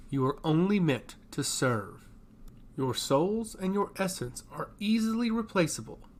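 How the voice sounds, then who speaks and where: Man acting a stern, contemptuous merman commander. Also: a little high. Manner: harsh, commanding, solemn. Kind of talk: fantasy audio story.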